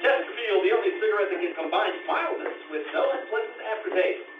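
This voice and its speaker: A man's voice: high voice, fairly quick, close to an everyday voice. A man playing a formal 1950s radio announcer reading closing sponsor credits. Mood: formal, promotional. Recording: echoey, distant, dull stage recording over music.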